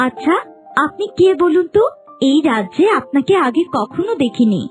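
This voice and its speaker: A woman plays a demure young village maiden in a fairy-tale cartoon. The voice is high and lilting with wide melody, gentle and polite, over soft background music. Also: sing-song, normal speed. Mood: polite, shy.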